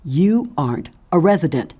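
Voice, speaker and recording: Bossy female neighbour, animated intonation, indignant, insistent, officious, audio fiction. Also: fairly low pitch, normal speed, slightly rough.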